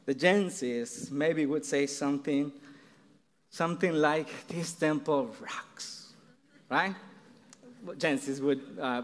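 A man with a Mexican accent preaches slowly, with a fairly high voice, stopping and restarting his phrases. His words carry a long echo from a large hall. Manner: earnest, halting, many restarts. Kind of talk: sermon.

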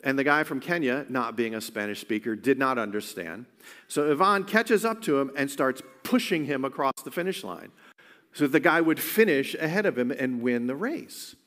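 A man's voice: medium pitch, animated, normal speed, a bit bright. Man preaching in a reverberant hall. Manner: earnest, conversational preaching with frequent restarts. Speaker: pastor. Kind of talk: sermon.

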